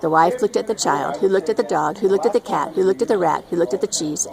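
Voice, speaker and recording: Older woman with creaky voice reading aloud. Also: medium pitch, fast talker, crowd noise. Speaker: storybook reader, grandmother. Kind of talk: reading a children's storybook aloud. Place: outdoors at a scenic lake.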